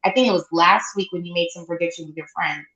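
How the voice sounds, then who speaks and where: A woman's voice: on the low side, quick pace, a bit bright, dull audio. Give me a chatty woman co-hosting a sports podcast, recorded in an echoey room. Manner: chatty, plugs events with loose asides. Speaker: podcast co-host. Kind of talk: casual sports podcast chat. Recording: roomy echoey sound.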